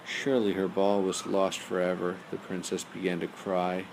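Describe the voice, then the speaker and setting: A man's voice: low-pitched, completely flat delivery, moderate pace, gravelly, background noise. A man reading a bedtime story as storyteller, speaking simply as if to young listeners.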